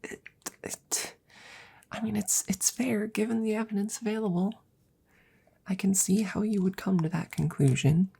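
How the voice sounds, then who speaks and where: A woman playing an anxious, devoted scientist in an intimate ASMR roleplay, rambling haltingly in a soft, breathy voice that often slips into whispers, close to the microphone. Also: medium pitch. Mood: sheepish, defensive.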